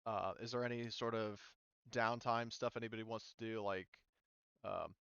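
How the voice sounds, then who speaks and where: Smooth-voiced male game master, dry sound. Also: fairly low pitch, steady tone, fairly bright tone, no room sound, highs cut off. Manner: informal, halting, often rephrasing mid-sentence. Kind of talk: casual tabletop game narration and rules explaining.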